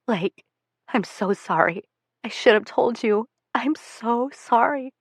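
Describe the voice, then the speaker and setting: A woman voicing a middle-aged mother who is grieving and apologetic, speaking softly and pleadingly. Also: mid-range voice, measured pace, dry recording, studio quality, natural voice.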